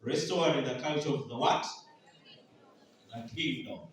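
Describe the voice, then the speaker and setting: Ugandan preacher in an echoey hall. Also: male voice, medium pitch, unhurried, far from the mic. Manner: call-and-response questions to the congregation. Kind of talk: sermon.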